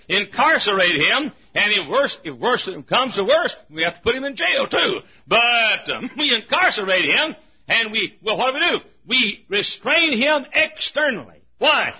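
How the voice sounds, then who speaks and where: An older male pastor preaches with fire, his husky voice riding high and swooping widely between quiet asides and shouted points. He chuckles through his lines. The recording is muffled and lo-fi. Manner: fiery preaching, swings from hushed to shouting. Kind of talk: sermon.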